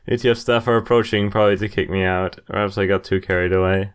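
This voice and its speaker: A man's voice: sits low, steady tone, brisk, clean tone. A man in his twenties hosting a playthrough, reading game narration aloud with casual asides. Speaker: gaming video host. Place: indoor room, webcam in corner.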